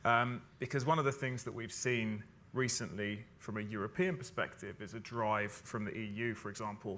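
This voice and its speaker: A man's voice: on the low side, pushed and bright, slight background noise. A man answering as a panelist in an explanatory way, often repeating words as he starts a phrase. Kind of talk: panel discussion.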